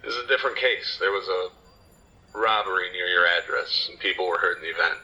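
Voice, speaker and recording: A man's voice: deep voice, brisk, pushed and bright. A man acting as a businesslike, casually persuasive police detective in an audio drama, heard through a telephone filter. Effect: telephone call filter. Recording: band-limited phone-call sound.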